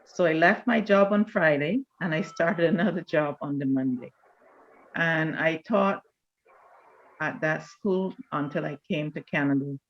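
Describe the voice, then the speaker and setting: An older woman, reminiscing in a measured, deliberate way as an interview guest. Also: low voice, dull audio. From Barbados. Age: older, around 70. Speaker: church elder, interview guest.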